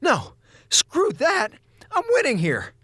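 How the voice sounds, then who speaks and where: A man's voice: very high voice, wildly swooping pitch, bright, forceful tone, no echo, heavily acted. An actor playing a wisecracking, defiant male comms officer who talks in a sarcastic, theatrical way. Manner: sarcastic, theatrical, quick with jokes. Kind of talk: audio drama acting.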